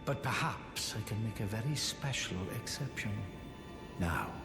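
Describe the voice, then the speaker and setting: A man's voice: on the low side, lively intonation, unhurried. A man acting as a haughty, condescending sorcerer in a fantasy video game, with a pompous, theatrical drawl. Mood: scornful, conspiratorial. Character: haughty, condescending male sorcerer, sly and scornful puppet-maker. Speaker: preceptor of sorcery. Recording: echoey reverberant hall with game music.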